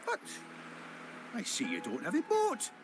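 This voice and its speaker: A man's voice: high-pitched, wildly swooping pitch, a little slow, full character voice. An actor voicing a spirited, boastful old retired fisherman in a video game, with folksy old-salt talk. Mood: welcoming, matter-of-fact. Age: older. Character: spirited old retired fisherman, hearty whale-hunting sea dog. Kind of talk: video game character acting. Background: music.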